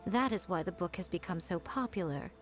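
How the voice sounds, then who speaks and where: A woman's voice: lowish voice, animated, fairly quick, soft background music, close to an everyday voice. A woman voicing a sly, self-assured fox-spirit shrine maiden in an anime game, poised, teasing and commanding. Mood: measured, thoughtful.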